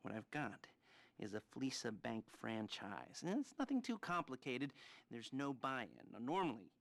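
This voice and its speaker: An actor playing an awkward, neurotic middle-aged criminal heist planner in a video game, with an American accent and a halting, nervous, wry delivery. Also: male voice, medium pitch, sing-song, vocal fry, fairly bright tone, dry recording. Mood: nervous, persuasive. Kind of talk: video game cutscene acting.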